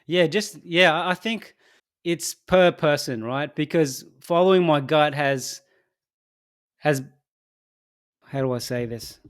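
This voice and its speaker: Halting, reflective male podcast co-host. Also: on the high side. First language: not English. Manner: thoughtful, halting, laughs easily. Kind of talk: casual podcast chat.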